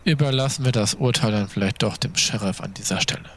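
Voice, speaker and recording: A man playing a stern, sardonic vampire enforcer in an audio drama, speaking in a measured, formal, condescending way. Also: fairly low pitch, lightly acted. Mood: grudging. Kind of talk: German audio drama acting.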